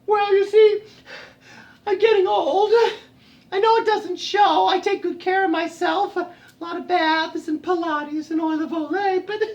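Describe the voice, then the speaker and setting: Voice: very high voice, voice pushed up, heavily acted. A performer voicing a vain aging female cat in a folktale, whimpering and coquettish. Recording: roomy, recorded at a distance.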